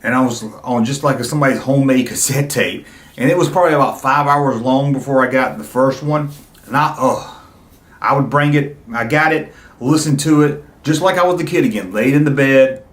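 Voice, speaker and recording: A man's voice: mid-range voice, roomy sound. A middle-aged man talking casually and nostalgically to his viewers, with an enthusiastic, rambling, conversational manner. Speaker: YouTube video host.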